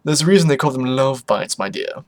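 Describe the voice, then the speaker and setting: A man puts on a suave vampire pony father for a storybook reading. He is urbane and genteel. His words come smoothly, with a teasing, knowing edge. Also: mid-range voice, expressive, a little fast, a little mellow, close to an everyday voice. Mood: sly, amused.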